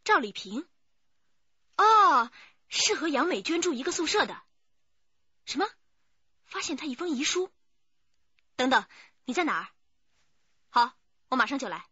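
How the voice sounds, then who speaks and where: High-voiced keen policewoman. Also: big pitch swings, measured pace, long pauses, sharp tone, no room sound, highs cut off, heavily acted. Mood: alert. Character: keen young policewoman, eager and dutiful. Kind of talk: Chinese radio drama acting.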